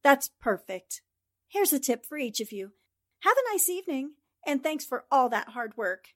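High, animated heroine played by a woman. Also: normal speed. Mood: bright, courteous. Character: plucky, suspicious female newspaper columnist. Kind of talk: 1940s radio drama acting.

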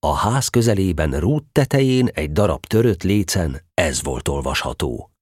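A man's voice: low-pitched, animated, a little mellow, breathy edge, no room sound, clean studio sound. A man narrating a storybook audio drama, telling the tale expressively.

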